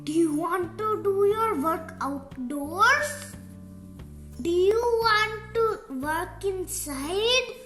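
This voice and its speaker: A young girl reading a rhyming picture book aloud in a deliberate, sing-song storytime style. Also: very high-pitched, animated, fairly bright tone. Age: child. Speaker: young reader of picture books. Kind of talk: read-aloud storytime narration of rhyming verse. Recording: roomy echo, music playing behind. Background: music.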